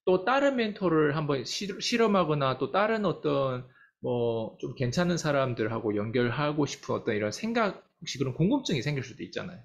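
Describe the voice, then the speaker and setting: A man's voice: a little high, sing-song, fairly quick, vocal fry, hardly any pauses, muffled recording. A man interviewing a guest, asking long, winding questions with hesitations and frequent murmured acknowledgments. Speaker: interviewer, coaching company head. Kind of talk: casual interview hosting.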